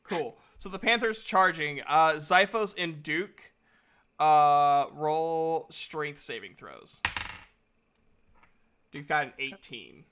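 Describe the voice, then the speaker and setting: A man's voice: a little high, slow, sharp tone, clean tone. A man improvising casual narration as the game master of a tabletop roleplaying game, in an informal way.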